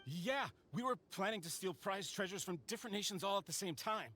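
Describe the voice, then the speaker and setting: High-pitched blustering bandit. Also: male voice, a little fast, background noise. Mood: boastful, deflated. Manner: animated, informal, defensive. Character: blustering, indignant male bandit henchman. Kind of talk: video game voice acting.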